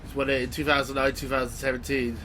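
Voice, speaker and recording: A man's voice: mid-range voice, level tone, quick pace, bright, forceful tone, slight background noise. Chatty man with a nasal cold. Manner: chatty, jokey, thinks aloud with fillers. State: has a cold, sounds nasal. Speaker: reaction show host. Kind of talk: casual watch-along commentary.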